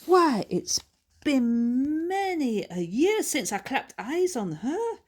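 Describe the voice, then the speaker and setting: A woman reader plays a hearty older lady adventurer from a storybook. She speaks in a folksy lilting dialect, affectionate and full of exclamation, pitched up and a little tired. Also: sing-song, slow, heavily acted.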